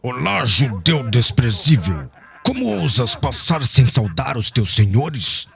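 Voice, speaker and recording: Man acting a cruel, gravelly Roman officer. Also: medium pitch, sing-song, slow, pushed and bright, exaggerated voice. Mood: harsh contempt. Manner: harsh, scornful, commanding. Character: arrogant cruel Roman tribune officer, sneering and brutal. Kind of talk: dramatized audiobook character voice.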